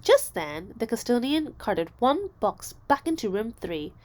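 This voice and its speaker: A woman narrating a children's story aloud, expressively, with deliberate phrasing and dramatic emphasis. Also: fairly high pitch, sing-song, a little mellow. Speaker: storybook reader.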